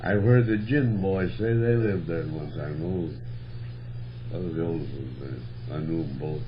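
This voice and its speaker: An older man with a low voice shares casual recollections in a folksy, rural way of speaking. The old reel-to-reel recording is dull, with strong noise and hum over it. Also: unhurried. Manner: folksy rural speech, plain country grammar. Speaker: interviewee recalling local history. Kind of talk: casual oral history reminiscence.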